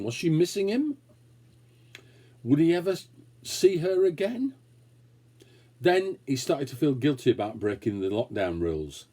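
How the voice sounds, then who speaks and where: A man reading a children's story aloud, expressively and with dramatic pauses. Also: medium pitch, warm tone, breathy edge. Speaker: story reader. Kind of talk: scripted children's story narration.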